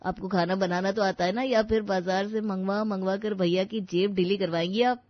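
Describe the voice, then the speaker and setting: A woman's voice: medium pitch, fairly even intonation, normal speed, no room sound, close to an everyday voice. A cheeky young brother-in-law from a storybook, voiced by a woman, teasing and demanding. The recording is dry and a bit dull.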